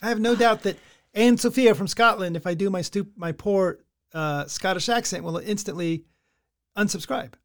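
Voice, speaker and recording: A middle-aged man with a high-pitched voice hosts a casual podcast. He jokes crudely and rambles, restarting his sentences as he goes. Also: normal speed. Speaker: podcast co-host. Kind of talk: casual banter.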